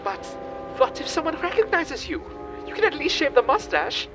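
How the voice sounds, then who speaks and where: Very high-pitched, fretful henchman. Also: huge pitch swings, moderate pace, music in the background, full character voice. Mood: worried. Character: anxious, awestruck German Nazi accomplice, male. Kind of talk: comedic radio play acting.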